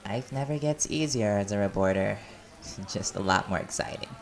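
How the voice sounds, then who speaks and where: A man's voice: lowish voice, normal speed, natural voice. A man playing an easygoing, flirtatious reporter in an audio roleplay, with a playful, teasing manner. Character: easygoing, flirtatious reporter, smooth sweet talker.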